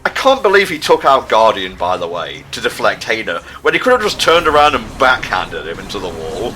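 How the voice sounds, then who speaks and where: A man's voice: fairly low pitch, big pitch swings, brisk, background noise. A man doing joking game commentary with dramatic readings, in a sarcastic, profane manner. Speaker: playthrough commentator.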